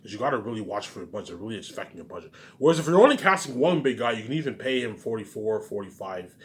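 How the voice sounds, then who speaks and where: Rambling, repetitive man with a low voice. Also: sing-song, fast talker. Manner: repetitive, keeps saying "man" and "obviously"; swings between loud bursts and mumbled trailing endings. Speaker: vlogger. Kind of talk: rambling casual monologue.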